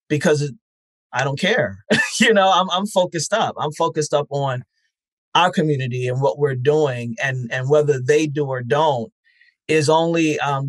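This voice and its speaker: A man's voice: mid-range voice, fairly bright tone, no room sound. A man being interviewed as the founder of a festival, talking frankly and reflectively with easy laughter. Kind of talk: casual interview chat.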